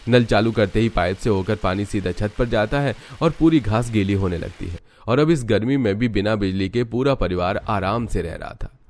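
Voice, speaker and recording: Guy narrating a cartoon story, kinda low voice, reading from a script. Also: moderate pace, few pauses, a little mellow, slight background noise.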